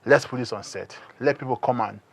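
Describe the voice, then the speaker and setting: A man's voice: mid-range voice, a little fast, gravelly, slightly rough. A Nigerian man speaking as a guest director in a studio interview, explaining conversationally and repeating points for emphasis.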